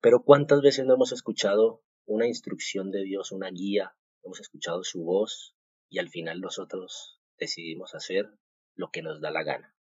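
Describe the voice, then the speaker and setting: A man from Colombia in his thirties hosting a podcast, sharing a devotional thought in a halting way, with many fillers and restarts. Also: low voice, a little mellow, breathy edge, dry recording. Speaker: podcast host. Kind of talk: casual devotional talk. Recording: muffled, dull home recording.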